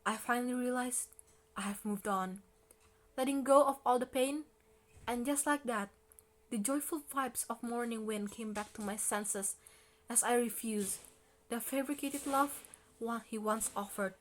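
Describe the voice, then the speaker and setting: A woman reading poetry aloud in an expressive recitation style. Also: a little high, unhurried. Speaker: poetry reader.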